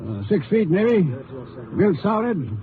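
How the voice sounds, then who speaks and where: A man playing an excitable small-town druggist in an old radio western, giving an eager, folksy eyewitness account. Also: sits high, measured pace, background noise. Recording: tinny old radio recording, background commotion.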